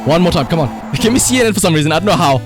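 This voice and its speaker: A man with a South African accent commentating on a live gaming stream and calling out voice commands in an excitable way. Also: a little high, rapid-fire, music in the background. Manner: animated, excitable, exclamatory. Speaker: livestreamer.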